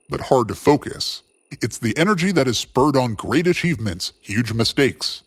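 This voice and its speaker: A man's voice: mid-range voice, fairly bright tone, voice pushed down. A man playing a wistful, philosophical radio host, musing theatrically through a scripted monologue.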